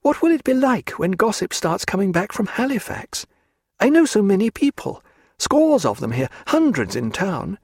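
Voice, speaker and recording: A proud, desperate young aristocratic woman, put on by a man reading a story, her voice lifted high and swinging widely as she pleads. The reading is dry and close, with no room around it. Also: big pitch swings, moderate pace, a little mellow, no echo, exaggerated voice. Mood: pleading. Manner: imploring, high-strung, upper-class.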